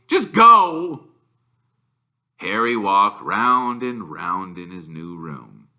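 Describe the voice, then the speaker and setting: A man reading a children's novel aloud as narrator, expressive and deliberate in his storytelling. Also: fairly low pitch. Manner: animated, deliberate. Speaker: reader, storyteller.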